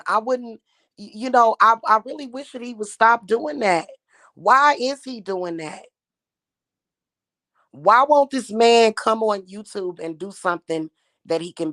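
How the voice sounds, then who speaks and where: A woman in her thirties with a slightly hoarse voice at a middle pitch, talking casually to her viewers. She sounds aggrieved and repeats herself, with plenty of fillers. The sound is close and dry, with no room echo. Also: a bit bright. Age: 30s. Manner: aggrieved and repetitive, with frequent fillers. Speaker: spiritual YouTuber and witch. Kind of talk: casual talk to camera viewers.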